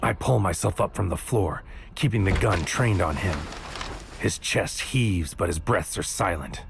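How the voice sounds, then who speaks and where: An actor playing a wary investigator, narrating his mission in a measured, literary first person for an audio drama. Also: deep voice, gravelly, background noise. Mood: urgent, tense. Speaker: narrator and lead character.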